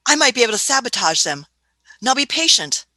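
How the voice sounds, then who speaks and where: A bright, dry-sounding female voice acted by a woman plays an eccentric scientist-adventurer in a radio play. She is fussy and authoritative, pitched fairly high, stammering and talking down to her companion. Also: sing-song, a little fast, dry recording, heavily acted. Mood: flustered. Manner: fussy, stammering, condescending, with quizzical tags.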